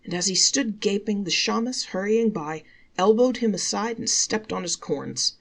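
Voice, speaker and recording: Creaky-voiced woman storyteller reading folktales. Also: medium pitch, moderate pace. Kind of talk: reading folktales aloud, expressive scripted narration.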